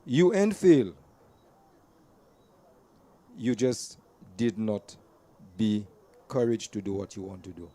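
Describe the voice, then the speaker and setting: A man's voice: fairly low pitch, very animated, slow pace, pauses often, warm tone. A man gives an earnest storytelling speech to a community gathering, repeating words as he restarts his sentences. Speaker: invited speaker at a community gathering. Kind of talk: personal storytelling speech to an audience. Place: community event venue.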